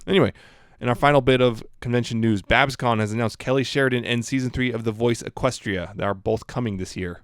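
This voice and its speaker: A man's voice: medium pitch, fast talker, few pauses, dry recording, clean studio sound. A man co-hosting a podcast, talking casually through fan news items.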